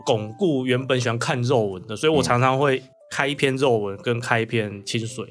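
A man's voice: medium pitch, a little fast. Taiwanese man chatting over music. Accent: Taiwanese Mandarin. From Kaohsiung, Taiwan. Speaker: guest novelist. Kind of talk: casual radio interview chat. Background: background music.

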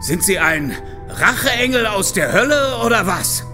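An actor playing an indignant police detective in a horror audio drama, confrontational, demanding and exasperated. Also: male voice, high voice, very animated, a little slow, sharp tone, soft background music, exaggerated voice. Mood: indignant, agitated.

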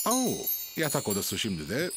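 A woman narrating a children's cartoon in clear, simple storytelling style. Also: very deep voice, wildly swooping pitch, moderate pace, exaggerated voice. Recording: music bed behind the voice.

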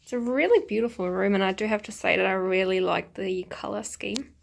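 A young woman chatting casually to her viewers as a travel vlogger, with a husky voice. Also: medium pitch, fairly quick. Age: young adult. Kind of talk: casual vlog chat to viewers. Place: small hotel room. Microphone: handheld camera at arm's length.

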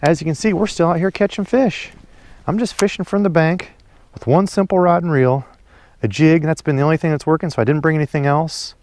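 A man's voice: fairly high pitch, fairly even intonation, a little fast, a little mellow, no echo. Young male fishing vlogger, chatty and folksy, outdoors, head-cam, dry. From Iowa, USA. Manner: chatty, upbeat, folksy sayings. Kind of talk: casual narration while fishing. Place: outdoors on a pond bank. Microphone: head-mounted action camera.

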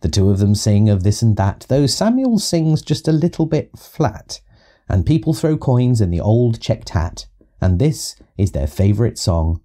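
Animated, mellow man reading a children's story. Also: fairly low pitch, a little slow. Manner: rhythmic, expressive verse reading. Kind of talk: scripted children's audiobook narration.

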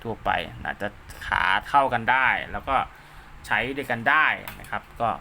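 Male DIY tutorial host: bright mid-pitched voice, informal and repetitive, throws in spoken sound effects, slightly noisy recording. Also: normal speed, vocal fry. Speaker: host of a DIY radio repair channel. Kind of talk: informal hands-on tutorial, talking while drawing diagrams.

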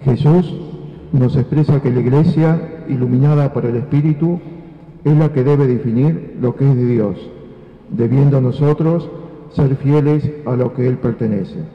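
A man's voice: medium pitch, a little slow. A man giving scripted guidance as a commentator at Mass. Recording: echoey church, dull sound.

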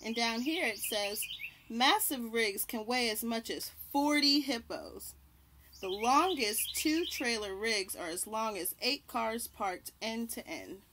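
Young female children's read-aloud host, slightly high voice, unhurried and deliberate delivery, porch recording with light birdsong. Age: young adult. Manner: deliberate, pausing to point out and label pictures. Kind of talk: reading a picture book aloud to children. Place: outdoors on a house porch by trees. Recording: birdsong and light outdoor background noise. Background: birds chirping.

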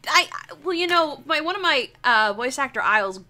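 A woman's voice: high voice, lively intonation, a little fast, sharp tone. A young woman streamer chatting casually while she draws, rambling and jokey with plenty of swearing. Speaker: streamer, artist. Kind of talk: casual livestream chat while drawing.

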